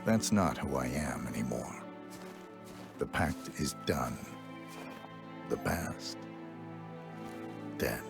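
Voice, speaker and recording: A stoic, solemn assassin from a video game. His voice is extremely deep and gravelly, with terse, slow lines over clear music. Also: lively intonation, heavily acted. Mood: grim resolve. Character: grim, stoic male assassin. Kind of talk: scripted video game acting.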